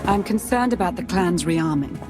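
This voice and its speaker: A woman's voice: on the low side, lively intonation, normal speed, over music, lightly acted. A woman playing a wary, cool-headed adviser in a video game, speaking formally with a questioning manner.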